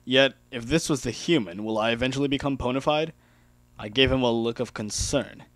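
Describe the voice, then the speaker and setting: A man's voice: mid-range voice, brisk, fairly bright tone, dry recording. A man reading first-person fan fiction aloud as an amateur dramatic narrator.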